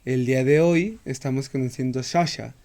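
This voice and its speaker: A man hosting a relaxed interview, opening with a greeting and asking his guest questions in an easygoing way. He uses everyday fillers as he phrases each question, at a medium pitch. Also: moderate pace. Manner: friendly, conversational questions with fillers. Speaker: interview host. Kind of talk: casual interview.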